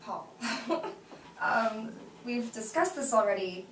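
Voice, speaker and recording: An actress playing an exasperated but fond woman gently turning down her best friend, in naturalistic, hesitant film dialogue. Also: on the high side, animated, takes it slowly. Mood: gentle, apologetic. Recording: roomy, distant mic with faint noise.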